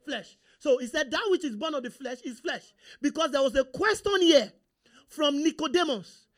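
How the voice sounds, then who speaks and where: A man's voice: extremely high pitch, animated, moderate pace, fairly bright tone. A male preacher with a Nigerian accent, whose first language is Yoruba, giving a sermon and constantly asking the congregation questions and for agreement. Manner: call-and-response, rhetorical questions to the congregation.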